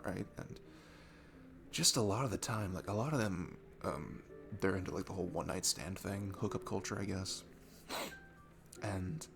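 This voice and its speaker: A man's voice: fairly low pitch, vocal fry, fairly bright tone, a little hiss or hum, heavily acted. A young man playing a shy, nervous, sweet guy at a bar in an ASMR roleplay, talking in a hesitant, rambling, self-deprecating way. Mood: awkward, earnest. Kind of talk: ASMR roleplay, one-sided intimate conversation.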